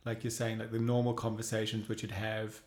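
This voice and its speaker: A man's voice: on the low side, monotone, a little fast. Rambling man co-host, echoey room. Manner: rambling, hesitant, self-conscious. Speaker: podcast co-host. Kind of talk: podcast conversation.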